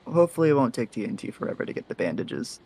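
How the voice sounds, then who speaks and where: A soft-hearted, easygoing faceless character from an audio drama, played by an actor. The voice is mid-pitched and the manner is gentle, a little hesitant and reassuring. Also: very animated, very fast, slight background noise.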